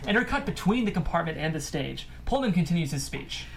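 A narrator reads screenplay stage directions at a table read. The voice is mid-pitched, with faint noise from the room behind it. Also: sing-song, brisk, creaky.

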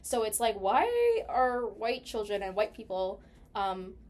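A woman's voice: medium pitch, slow pace. A young American woman in casual personal conversation, chatty and peppering her talk with "like". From United States. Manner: chatty, animated storytelling with heavy use of "like".

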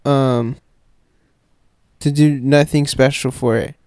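A man's voice: mid-range voice, steady tone, takes it slowly, darkish tone, clean tone, no room sound, studio quality. A young man co-hosting a podcast, laid-back and teasing in loose slangy chatter. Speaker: podcast co-host. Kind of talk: casual podcast banter.

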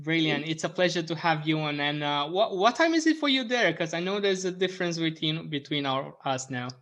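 A man's voice: on the high side, big pitch swings, fairly quick, fairly bright tone. A man hosting a podcast, upbeat with lots of fillers. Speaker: podcast host. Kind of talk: podcast intro and interview.

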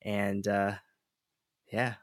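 Low flat-voiced young man rambling casually. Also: extremely slow, no echo, studio quality. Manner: relaxed, rambling, many fillers. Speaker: podcast host. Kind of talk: casual solo podcast monologue.

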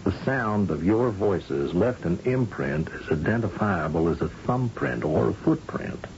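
An actor playing a commanding, folksy governor of a space colony who questions shrewdly, in an old-time radio drama. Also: male voice, on the low side, expressive, unhurried, gravelly, darkish tone. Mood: pressing, shrewd. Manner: folksy, probing, patronizing. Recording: dull, noisy old radio recording.

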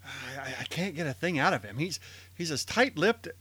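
A man's voice: on the high side, expressive, moderate pace, bright, forceful tone, husky, dry recording, faint music behind, heavily acted. Man playing an anguished, stammering middle-aged lawyer. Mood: weary. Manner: stammering, agitated. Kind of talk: old-time radio drama acting.

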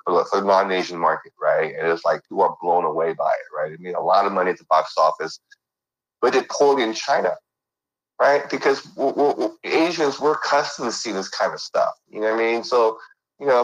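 A man's voice: low-pitched, fairly bright tone, rough recording. A middle-aged man from San Francisco, talking casually as an interview guest and rambling with lots of fillers and restarts. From San Francisco, United States.

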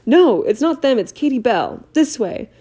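A woman's voice: mid-range voice, moderate pace, warm tone, lightly acted. Woman doing an urgent teenage boy wizard. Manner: urgent, raised voice.

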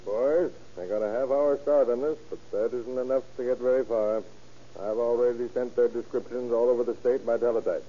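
An actor playing an authoritative county sheriff giving clipped orders in a 1930s radio crime drama. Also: male voice, mid-range voice, steady tone, fairly quick, dark tone, close to an everyday voice. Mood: commanding. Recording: muffled old radio recording.

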